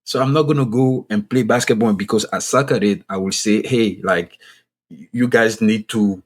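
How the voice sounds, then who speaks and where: Deep husky man with a French-speaking Ivorian accent. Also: moderate pace. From Ivory Coast. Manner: earnest, repeats and restarts phrases to stress a point. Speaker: guest economist and author. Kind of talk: podcast interview.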